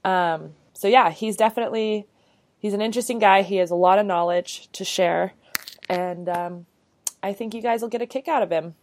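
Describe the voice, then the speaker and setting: A woman's voice: medium pitch, moderate pace, a little hiss or hum. A woman in her thirties co-hosting a podcast, talking in a chatty, bubbly way with lots of casual fillers. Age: 30s. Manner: chatty, bubbly, many "like" and "you know" fillers. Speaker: podcast co-host.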